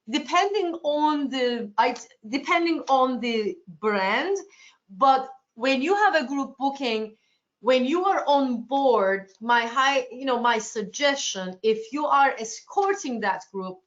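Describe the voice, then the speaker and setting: A woman from Turkey answering agents' questions in a webinar, restarting sentences often in a reassuring, accommodating way. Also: a little high. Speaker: cruise sales presenter. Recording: lo-fi webinar audio.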